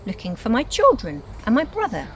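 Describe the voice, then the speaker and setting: A woman's voice: fairly high pitch, wildly swooping pitch, a little fast, a little hiss or hum, pitched above the performer's own voice, poor audio. A woman voicing a worried but firm father in a storybook, polite and insistent.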